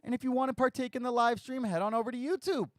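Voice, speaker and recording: A man's voice: very high voice, fairly even intonation, quick pace, no echo, clean studio sound. Chatty man with a scratchy voice. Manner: rambling, chatty, self-deprecating jokes. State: slightly scratchy voice from little sleep. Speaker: podcast host. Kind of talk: casual podcast talk, live stream.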